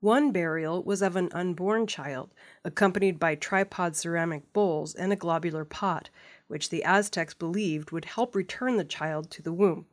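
A woman's voice: lowish voice, normal speed. A woman reading scripted news stories as the presenter of an audio news programme. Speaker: news reader.

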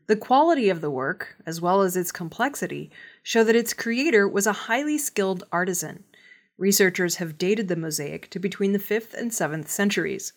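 A woman reading scripted news in a measured, formal delivery. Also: mid-range voice. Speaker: news reader.

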